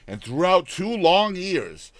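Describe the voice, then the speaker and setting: A man narrating a dramatized audiobook tale in an expressive, theatrical storytelling manner. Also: high-pitched, slow, dry recording.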